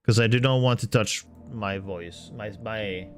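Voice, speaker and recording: Casual male streamer, lowish voice, trips and restarts mid-sentence. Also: faint music behind. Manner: halting, self-correcting, thinking aloud. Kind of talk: casual live-stream commentary.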